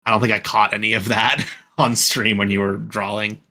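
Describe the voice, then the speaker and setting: A young man talks casually as a podcast host from his home. His voice sits a little low but has a forceful, bright edge. He rambles and restarts his sentences. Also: flat delivery, moderate pace. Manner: rambling, lots of fillers and restarts. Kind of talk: casual chat.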